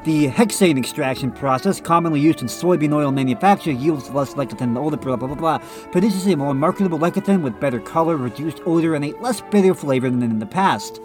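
Slightly nasal man reading aloud, fairly high. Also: lively intonation, fast, few pauses, over music. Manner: reads passages then adds blunt off-the-cuff remarks. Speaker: podcast co-host. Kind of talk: casual podcast, reading a text aloud with asides.

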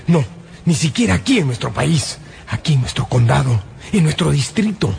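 An actor playing an anxious, obsessive astronomer in a radio drama, insistent and emphatic, speaking in clipped, repeated phrases. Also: male voice, a little high, very animated, normal speed, vocal fry, a little mellow, a little hiss or hum, heavily acted. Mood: grave, worried. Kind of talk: Spanish-language radio drama acting.

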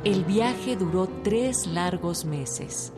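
A woman's voice: lowish voice, expressive, very slow, over music. A woman with a Mexican accent reading scripted historical narration as a radio narrator.

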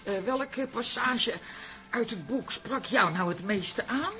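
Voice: mid-range voice, slow, over music. A performer playing an eager, fussy, agreeable enthusiast who repeats phrases, in a radio drama.

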